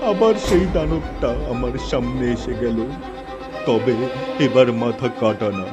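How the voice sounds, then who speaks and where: A man's voice: medium pitch, animated, measured pace. A man narrating a scripted horror story in the first person, in a dramatic style. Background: music, night insects.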